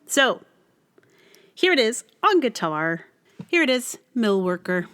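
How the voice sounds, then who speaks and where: A woman's voice: a little high, very animated, takes it slowly, a bit bright, clean tone. A woman hosting a podcast, casually introducing in a hesitant, reflective way, with thoughts that trail off. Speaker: podcast host. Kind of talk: casual rambling introduction to a song.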